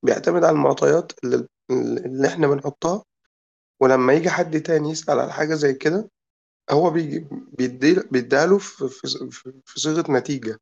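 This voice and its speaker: A man's voice: fairly high pitch, fast talker, gravelly, a little mellow, breathy edge, muffled recording. A man with an Egyptian accent and a cold that has worn down his voice. He explains his point at length in a casual group discussion and keeps checking that his listeners follow him. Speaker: product designer in a group chat.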